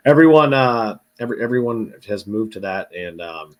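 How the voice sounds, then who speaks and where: A man's voice: lowish voice, lively intonation, moderate pace. A congested man hosting a casual online talk show, easygoing and full of fillers and restarts. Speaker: podcast host. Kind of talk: casual video-call chat.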